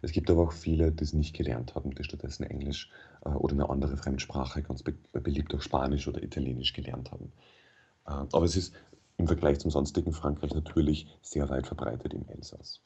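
A man speaking German as his first language, a radio interview guest who explains things in a measured way with frequent hesitations. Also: extremely low pitch, little pitch movement, gravelly, dark tone, husky, dull audio. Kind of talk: thoughtful radio interview answers.

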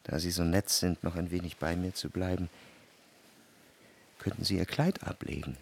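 An actor playing an anxious, jealous lover who recalls his life in measured, introspective radio drama narration. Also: deep voice, fairly even intonation, vocal fry, a little mellow, husky, heavily acted. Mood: quiet, coaxing. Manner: measured, introspective, literary phrasing. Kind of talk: literary radio drama narration with quiet dialogue.

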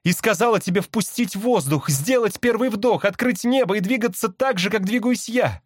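A man voicing a fierce, desperate young female magpie with urgent, shrill cries in an audiobook. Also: lively intonation, normal speed, slightly rough, no echo, clean studio sound. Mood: urgent, commanding. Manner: shrill, urgent, exclamatory crying out. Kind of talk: dramatized audiobook character.

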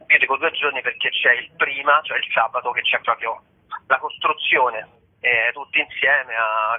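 A man's voice: medium pitch, breathy edge. A man being interviewed as a festival organiser, explaining things in a casual, hesitant, informal way. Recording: narrow tinny telephone line.

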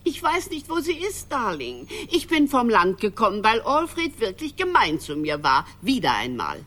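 A woman's voice: a little high, huge pitch swings, normal speed, a bit bright, exaggerated voice. An actress playing a genteel, scatty elderly mother in a radio drama, gushing and theatrical. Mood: plaintive. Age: older. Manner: gushing, calls everyone darling. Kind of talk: German radio drama dialogue.

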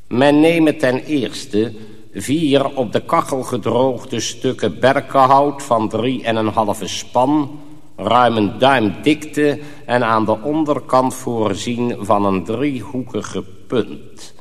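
An actor playing a solemn master sorcerer in a radio drama, reciting arcane instructions like an incantation in a long reverb. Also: male voice, mid-range voice, natural voice. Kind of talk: Dutch radio drama acting, ritual recitation.

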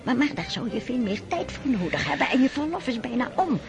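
A woman's voice: on the high side, sing-song, brisk, over music, crowd noise. A woman playing a scheming aristocratic mother in a radio play, talking in a gushing, coaxing way. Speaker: mother of Boris. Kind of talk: radio drama acting.

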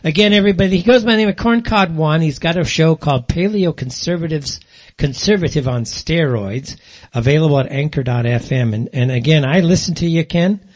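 A man hosting a political talk radio interview, putting wordy, halting questions to a guest. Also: on the high side. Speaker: radio show host, interviewer.

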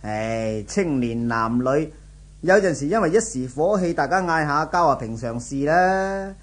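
An actor playing a friendly, inquisitive, breezily reassuring office colleague in a 1970s radio drama, with a Hong Kong Cantonese accent. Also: male voice, a little high, lively intonation, unhurried. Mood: concerned, reassuring.

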